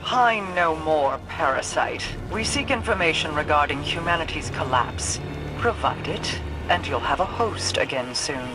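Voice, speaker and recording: A woman's voice: deep voice, expressive, a little slow, rough recording. An actress playing an imperious, secretive alien queen in a video game, speaking in formal, clipped, aloof phrases. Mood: stern, bargaining. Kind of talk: scripted video game dialogue. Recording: music behind the voice.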